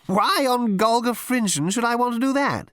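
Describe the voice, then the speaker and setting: A man's voice: extremely high pitch, lively intonation, fairly bright tone, dry recording, studio quality, pitched above the performer's own voice. Guy playing a dithery nice male space captain, chummy and lilting, comic sci-fi audiobook. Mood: bemused, genial. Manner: mild, bemused, chummy and unhurried. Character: vague, genial, idle spaceship captain lounging in bath. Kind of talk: comic science fiction audiobook character.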